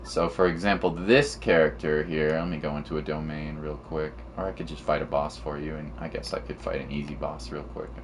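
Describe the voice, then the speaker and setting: A man's voice: a bass voice, level tone, brisk, few pauses, background noise. A man giving casual live commentary on a video game as a streamer, talking in an informal, rambling way. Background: game music.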